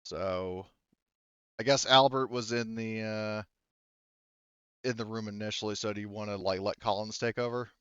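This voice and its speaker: A man with a low, smooth voice runs a tabletop roleplaying game. He narrates haltingly as game master, and the audio is dry and slightly muffled. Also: fairly even intonation, a bit bright, dry recording. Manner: halting, speaks for characters in own voice.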